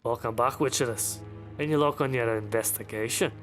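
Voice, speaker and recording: A man voicing a gruff, dismissive village innkeeper in a fantasy game, with a creaky voice and brusque, folksy talk. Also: medium pitch, fairly quick, soft background music, lightly acted. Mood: brusque, disbelieving. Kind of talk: acted fantasy game dialogue.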